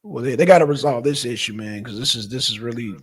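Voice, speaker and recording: A man hosting a live stream in an informal, halting, casual chat style. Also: medium pitch, breathy edge.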